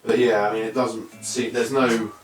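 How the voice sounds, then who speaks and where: A man's voice: fairly low pitch, little pitch movement, normal speed. A British man giving a casual, unscripted product review, thinking aloud in short, hesitant fragments. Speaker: presenter of a tech product review. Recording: roomy echo, distant mic, faint music.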